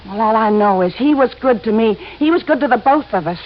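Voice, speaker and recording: Female role, acted: young gun-moll widow, fairly high voice, slangy, halting, defensive, vintage radio drama, muffled broadcast sound. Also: animated, natural voice. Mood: defensive, wistful. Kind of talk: 1950s radio drama acting.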